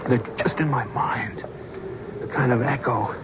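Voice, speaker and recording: An actor playing a guilt-ridden, middle-aged talent scout in a 1970s radio drama, stammering in panic. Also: male voice, mid-range voice, unhurried, background noise, close to an everyday voice. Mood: fearful. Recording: dull old radio broadcast.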